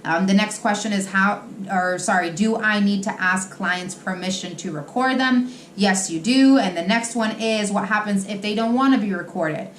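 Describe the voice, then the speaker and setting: A woman's voice: medium pitch, moderate pace, few pauses. A woman hosting an explainer, answering common questions in an instructive, semi-scripted way straight to the viewer. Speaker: host, insurance industry advisor answering agent questions. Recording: roomy echo, faint background noise.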